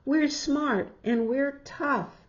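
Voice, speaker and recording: Plucky storybook boy read by a woman, bold, confident and emphatic, pitched up. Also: very slow, dull audio. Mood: determined. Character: brave, confident young boy rallying his friends. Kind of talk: picture-book read-aloud.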